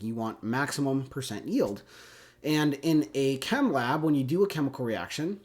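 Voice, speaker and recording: A man with a stuffy, congested voice talks students through a chemistry lesson, his pitch sitting right in the middle. He keeps it casual and conversational, pausing to check in with an easy "okay?" along the way. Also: darkish tone. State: congested from a cold. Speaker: chemistry teacher. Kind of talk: recorded classroom lecture.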